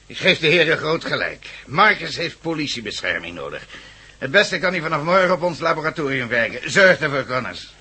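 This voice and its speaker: An actor playing an authoritative police commissioner in a Dutch radio play, emphatic and deliberate as he gives orders. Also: a little high, very animated, bright, forceful tone, husky, heavily acted. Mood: commanding. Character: authoritative male police commissioner briefing his men.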